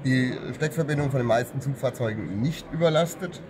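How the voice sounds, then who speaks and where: A middle-aged man, a service technician, calmly explaining products in an interview at a trade fair booth. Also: mid-range voice, brisk. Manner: calm, technical, matter-of-fact explaining. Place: busy exhibition hall booth. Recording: trade hall with clear crowd noise behind.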